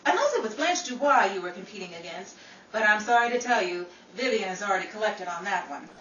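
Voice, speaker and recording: A woman's voice: medium pitch, animated, quick pace, sharp tone. A woman playing a vain, wisecracking Hollywood actress in an old-time radio comedy style, theatrical and sassy. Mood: sarcastic. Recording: roomy, distant, dull sound with faint noise.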